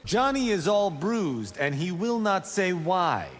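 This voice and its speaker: A man's voice: sits high, big pitch swings, takes it slowly, in a large hall, full character voice. A man playing a cocky, wisecracking young monk fighter in a video game, confident and bantering. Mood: teasing. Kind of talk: video game character acting.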